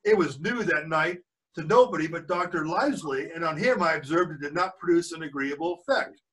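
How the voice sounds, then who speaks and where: A man's voice: a little high, brisk, a bit bright, poor audio. An older man reading a storybook aloud to children as a grandfather would, stumbling over words and correcting himself, with asides to his listeners.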